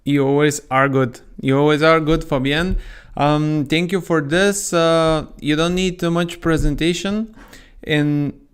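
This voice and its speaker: A man's voice: fairly high pitch, fairly even intonation, measured pace, clean tone. A man hosting a live stream, welcoming viewers formally and introducing his guest, with occasional hesitant filler sounds.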